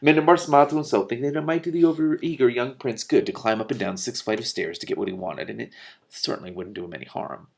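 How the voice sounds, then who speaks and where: A man reading a novel aloud expressively, with casual, animated commentary and salty asides. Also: mid-range voice, quick pace, vocal fry. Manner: animated, informal, swearing in asides. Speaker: reader and commentator of a fantasy novel.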